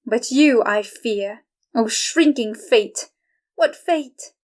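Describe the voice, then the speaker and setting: A woman acts a young wife in a poetic radio play, frightened but defiant. Her voice is high and she declaims slowly, weighing each phrase. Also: darkish tone.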